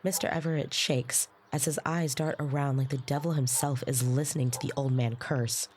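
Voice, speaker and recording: An actress playing an earnest young trainee, narrating her story in the first person in a measured, descriptive way. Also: a bass voice, fairly even intonation, darkish tone, slight background noise. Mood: wry.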